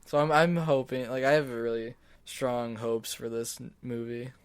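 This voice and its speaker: A man's voice: mid-range voice, steady tone, normal speed, clear, resonant tone. A man co-hosting a podcast, talking in an informal, conversational way. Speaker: podcast co-host. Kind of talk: casual chat.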